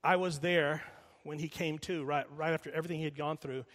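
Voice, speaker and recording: A middle-aged man preaching a sermon, emphatic, slowing and repeating lines for weight and dropping quiet while telling a story. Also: fairly high pitch, steady tone. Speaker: pastor. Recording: long reverb of a large hall.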